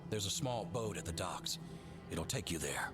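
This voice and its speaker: Weary male ranger chief, low voice, gruff, terse, game cutscene, loud music behind. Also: expressive, normal speed. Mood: grim. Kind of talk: video game cutscene acting.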